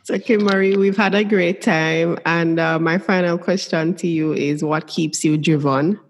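Slow-spoken woman host, reverberant sound. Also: on the low side, fairly even intonation. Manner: slow, affirming, many back-channel replies. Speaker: interview host. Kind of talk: interview.

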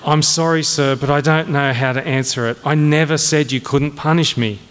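A man's voice: on the high side, steady tone, normal speed, a bit bright, slight background noise, close to an everyday voice. A man voicing an earnest, flustered young military cadet in a comic audiobook, courteous, nervous and apologetic. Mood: pleading. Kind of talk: comic dramatised audiobook reading. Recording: long echoing hall reverb.

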